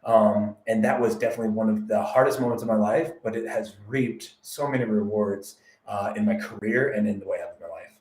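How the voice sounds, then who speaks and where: Man, podcast guest, candid, reflective, measured, low voice, echoey room. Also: darkish tone. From United States. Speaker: guest, realtor. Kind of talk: podcast interview.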